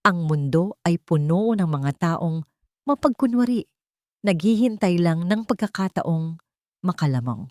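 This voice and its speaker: Low-pitched woman narrating a dramatic story. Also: lively intonation, measured pace, darkish tone, dry recording, clean studio sound.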